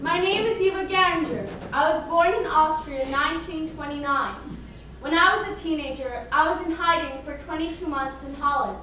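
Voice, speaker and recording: A woman's voice: high voice, moderate pace, sharp tone, slight background noise. A young woman acting on stage, narrating a survivor's story with a projected theatrical delivery. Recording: very echoey hall, from far off.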